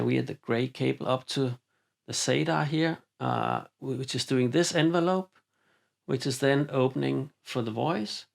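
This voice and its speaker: Gravelly-voiced man explaining a synth patch. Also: medium pitch. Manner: relaxed, halting with frequent ums and uhs. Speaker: synthesizer demonstrator. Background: synthesizer music.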